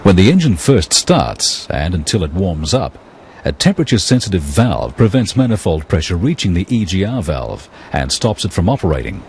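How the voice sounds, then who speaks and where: A man reading scripted technical narration for an educational film in a measured, explanatory, impersonal manner. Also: low-pitched, big pitch swings, darkish tone, a little hiss or hum.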